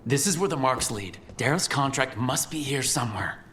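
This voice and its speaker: A determined adventurer played by a man with a medium-pitched voice. He leads in a brisk, businesslike way in a fantasy game, over clear background noise. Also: expressive, a bit bright, natural voice. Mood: alert, determined. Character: alert, decisive male adventurer leading his companions. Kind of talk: dramatic video game acting.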